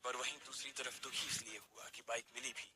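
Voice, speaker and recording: A man's voice: mid-range voice, little pitch movement, fairly quick. A man narrating an audio story in a dramatic storytelling style.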